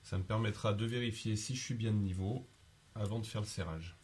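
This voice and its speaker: A man calmly narrating a home improvement job step by step, describing each action as he goes. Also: on the low side, fairly quick, slight background noise. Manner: methodical, explains each step in first person. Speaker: DIY instructor.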